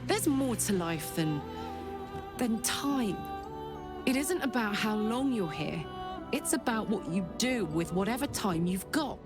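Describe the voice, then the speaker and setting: An actress playing a heartfelt, warm-spirited female adventurer in a video game. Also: medium pitch, animated, unhurried, music in the background, close to an everyday voice. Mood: moved, admiring.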